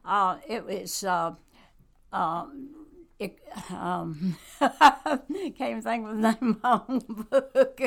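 A woman, talking slowly in a casual interview as a guest and author, with many pauses and fillers. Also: medium pitch, gravelly. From North Carolina. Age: 90s. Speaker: guest, church member and author.

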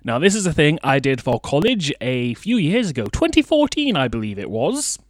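Animated young male radio host, highish voice. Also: moderate pace, no echo, clean studio sound. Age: young adult. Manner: theatrical, playful showman delivery. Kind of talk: chatty radio presenting.

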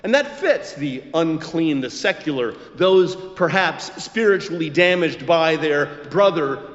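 A man preaches in a muffled recording with long hall reverberation. He takes his time, phrasing his prepared sermon emphatically and with very animated intonation. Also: fairly high pitch. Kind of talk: scripted expository sermon with quotations.

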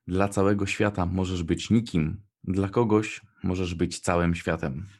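A man with a low, deep voice co-hosts on radio. He presents and recites short pieces for the listeners. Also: fairly even intonation, unhurried, a little mellow. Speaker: radio show co-host.

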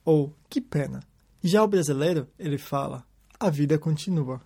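A man hosting a podcast, telling stories in a calm scripted narration. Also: a little high, moderate pace, creaky, breathy edge. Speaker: podcast host. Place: lives in Japan.

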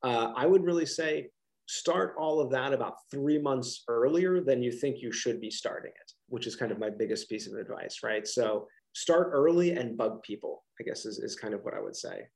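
A man's voice: medium pitch, lively intonation, normal speed, a little mellow, low-quality recording. A middle-aged man with a Philadelphia accent, a college professor answering interview questions casually on a video call, with many restarts and fillers.

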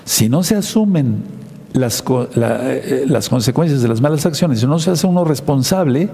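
A man preaching a sermon, teaching slowly and repeating his key phrases for emphasis. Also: medium pitch, expressive, vocal fry, dark tone, husky, in a large hall.

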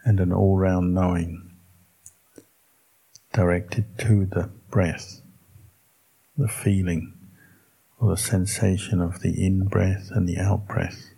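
A man teaching as a Buddhist monk, leading a guided meditation in a calm, measured way with long pauses between short phrases. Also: sits low, creaky, slightly rough, rough recording.

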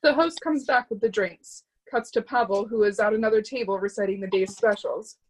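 A woman's voice: medium pitch, brisk, rough recording. A woman narrating stage directions from a script at a screenplay reading.

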